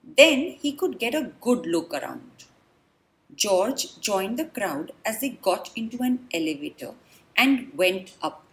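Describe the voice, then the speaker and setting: A woman reading a children's storybook aloud, expressive and dramatic, with deliberate pauses. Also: medium pitch, poor audio. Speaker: children's book reader. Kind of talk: read-aloud storybook narration.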